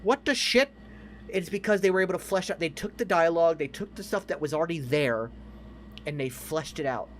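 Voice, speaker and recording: A man with a voice a little higher than average co-hosts a casual entertainment podcast. He is emphatic and opinionated and swears freely. Faint noise sits behind his voice. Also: a little fast. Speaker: podcast co-host. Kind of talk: casual film and game discussion.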